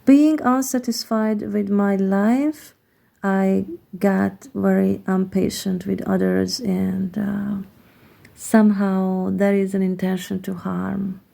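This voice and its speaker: Slow, gravelly Hungarian-accented woman. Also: mid-range voice, little pitch movement, dark tone. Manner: hesitant, searching for words with many pauses. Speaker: tarot card reader. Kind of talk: slow, reflective card reading.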